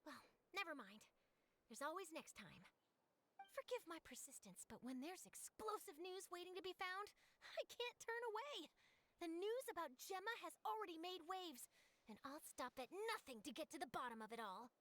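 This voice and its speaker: A woman playing a zealous, persistent young newspaper reporter in an anime video game, brisk, polite and theatrical. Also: very high-pitched, lively intonation, a bit bright. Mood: determined, polite.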